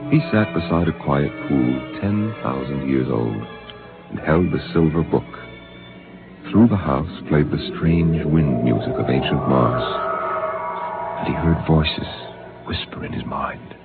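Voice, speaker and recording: A man's voice: extremely low pitch, measured pace, phone-quality sound, loud music behind the voice. A man giving solemn, descriptive scripted narration for a 1950s radio drama.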